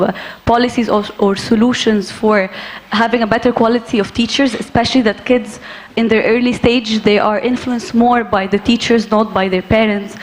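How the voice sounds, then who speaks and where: A young woman student with a fairly high voice asks a measured question of the panel. A faint murmur of the hall is behind her. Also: slight background noise.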